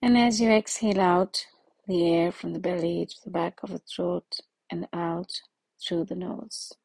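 Low, mellow woman guiding meditation. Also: fairly even intonation, gravelly, slightly rough, dry recording, poor audio. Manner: slow, soothing, with long pauses. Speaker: meditation guide. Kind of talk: guided meditation.

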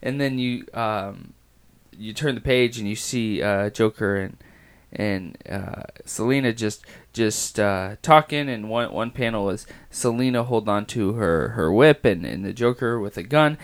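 Raspy-voiced man with halting delivery. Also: on the low side. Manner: halting, many fillers and restarts. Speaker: comic book podcast host. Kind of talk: casual podcast review.

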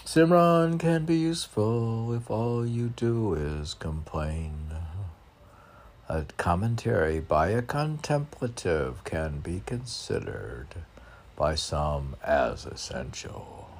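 A man reading his own spiritual poems aloud in a halting way, with phrases that rise emphatically. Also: low-pitched, expressive, slight background noise. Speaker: poet reading own work. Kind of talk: recited spiritual poetry reading.

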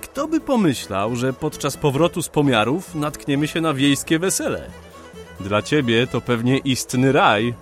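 A man's voice: mid-range voice, sing-song, unhurried, soft background music. A man playing a wry, worldly surveyor who banters with his companion, in a radio play. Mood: wry, appreciative. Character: teasing, worldly male surveyor, companion of the hero.

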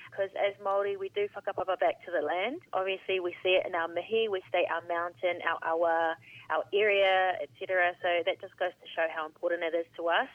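A woman with a New Zealand accent, a podcast guest talking in an earnest, reflective way over a phone call. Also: on the low side. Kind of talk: casual interview. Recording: phone line.

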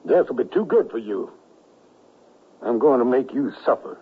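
A man's voice: mid-range voice, expressive, normal speed, slightly rough. An actor playing a vengeful, mockingly polite Confederate gunman in a western radio drama. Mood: vengeful, gloating. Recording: dull vintage radio broadcast.

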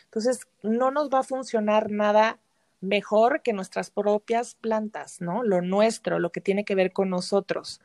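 A woman's voice: medium pitch, steady tone, a little slow, darkish tone, no room sound. A woman with a Mexican Spanish accent explaining things conversationally as an interview guest, often ending phrases with a tag question. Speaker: interview guest, flower remedy practitioner and teacher.

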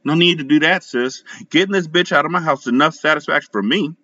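A man voicing a furious, betrayed wife who curses and speaks slowly and emphatically, as an audiobook narrator. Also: a little high, pushed and bright, slightly rough, voice pushed up. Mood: cold. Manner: cursing, slow and emphatic. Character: furious betrayed wife, sharp-tongued, commanding. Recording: slightly muffled.

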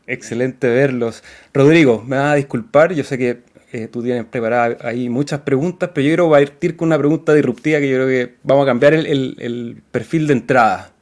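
A man's voice: mid-range voice, a little fast, a little mellow. Man co-hosting on a video call, upbeat and direct. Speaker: co-host.

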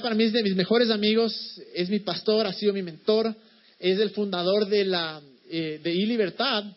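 Lively man introducing a guest preacher. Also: high voice. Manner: storytelling, lively and conversational. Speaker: pastor introducing a guest speaker. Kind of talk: church announcement and anecdote. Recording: dull lo-fi sound.

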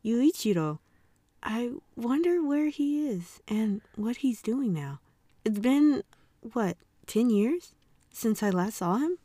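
A woman's voice: on the high side, animated, takes it slowly, warm tone, dry recording. A woman voicing a wistful, easily flustered married former magical-girl warrior in an amateur manga dub.

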